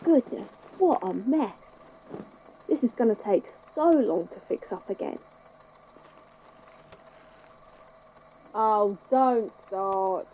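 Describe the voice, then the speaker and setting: A woman playing a composed, wry institute head in a scripted audio drama, speaking in a measured, conversational way. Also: medium pitch, animated, highs cut off, a little hiss or hum. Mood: wry, exasperated. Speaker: podfic voice actor, institute head narrating a statement.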